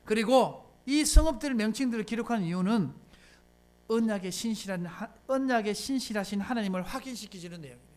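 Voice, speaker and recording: Pastor, lively up-and-down sermon delivery, punchy rhetorical questions, church setting. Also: medium pitch, brisk. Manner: emphatic rhetorical questions, conversational preaching. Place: church sanctuary.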